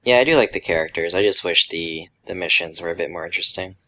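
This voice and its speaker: A man's voice: deep voice, fast, pushed and bright. A man speaking as a podcast co-host in casual, informal conversation.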